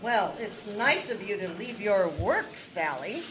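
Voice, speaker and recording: An actress playing a forceful, overbearing eldest sister, curt and commanding, in 1940s radio style. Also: female voice, medium pitch, animated, a little slow, noisy background, close to an everyday voice. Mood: cold.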